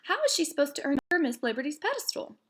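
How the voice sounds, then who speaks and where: A woman voicing a sensible old immigrant grandmother in a read-aloud storybook, calm and practical. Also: sits high, fast, pitched above the performer's own voice.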